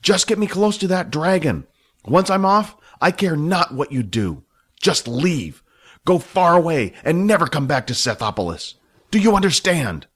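A man's voice: on the high side, big pitch swings, moderate pace, a bit bright, husky, voice pushed up, full character voice. A man playing a raised, commanding villain. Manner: imperious, threatening. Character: cold, commanding male schemer.

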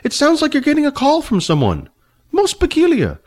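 Male narrator voicing a prim old wizard, high fussy voice, haughty and nosy, storybook read-aloud. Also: huge pitch swings, brisk, darkish tone, heavily acted. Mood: inquisitive. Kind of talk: storybook reading.

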